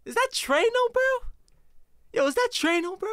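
A young man from the US East Coast reacting to a music video on his home stream, excitable and rambling, saying "bro" after nearly every phrase. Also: very high voice, moderate pace, heavy background noise. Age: young adult. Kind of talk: casual reaction commentary. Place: home streaming room, indoors. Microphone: headset with desk mic close up. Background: faint song playing.

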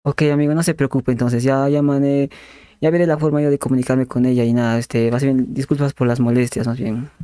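A man's voice: mid-range voice, little pitch movement, brisk, mellow, no echo, clean studio sound. A young man with a Peruvian accent improvising a prank phone call in a repetitive, hedging salesman patter. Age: young adult. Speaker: prank caller and channel host. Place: small bedroom, indoors. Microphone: desk condenser microphone with pop filter. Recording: clear background noise, clicks.

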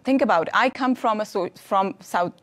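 A woman's voice: mid-range voice, normal speed, a bit bright. A woman in her thirties from Pakistan, a hospital physician on a studio panel, speaking earnestly and often restarting her phrases. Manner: earnest, searching for words, repeats phrases. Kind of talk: panel discussion. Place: studio stage with curtain backdrop.

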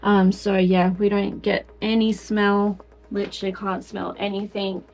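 A woman's voice: medium pitch, flat delivery, measured pace, a little mellow, rough recording. A young Australian woman chatting casually as she shows round her caravan, upbeat with plenty of ums and likes. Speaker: vlogger showing a caravan. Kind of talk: casual walk-through chat. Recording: faint music under the talk.

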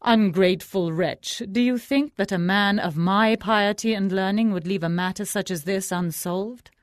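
A woman's voice: medium pitch, normal speed, dry recording, clean studio sound, voice pushed up, natural voice. A woman voicing a pompous, self-important male holy man who speaks solemnly.

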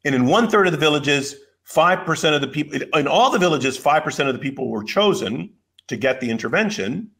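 A man's voice: fairly high pitch, animated, fairly bright tone. Older man lecturing over a video call. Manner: explanatory, measured, with some fillers and restarts. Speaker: keynote speaker. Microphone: video call webcam.

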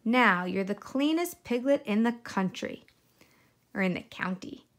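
A woman's voice: mid-range voice, lively intonation, a little slow, close to an everyday voice. A woman voicing a bossy, hungry, short-tempered male fox villain in a children's storybook, gloating and growling. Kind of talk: children's storybook read-aloud.

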